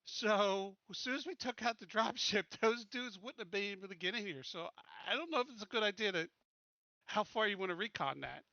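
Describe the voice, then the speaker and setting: A man with a high, forceful voice talks casually as a tabletop game player. The recording is close and dry but a little dull. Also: a little fast, no echo.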